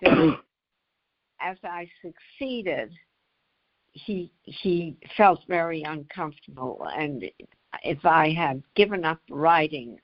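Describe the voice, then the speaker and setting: An older woman speaks very slowly in a conversational interview. Her voice sits a little low, and she often halts mid-phrase before carrying on. The audio is slightly dull and lo-fi. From United States. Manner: halting, pausing mid-phrase. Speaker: author, interview guest.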